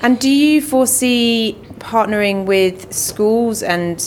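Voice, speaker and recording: A woman's voice: a little high, takes it slowly, slight background noise. A woman from the UK hosting a podcast interview, asking friendly questions and giving lots of encouraging murmurs. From United Kingdom. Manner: friendly, encouraging back-channel. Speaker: podcast host.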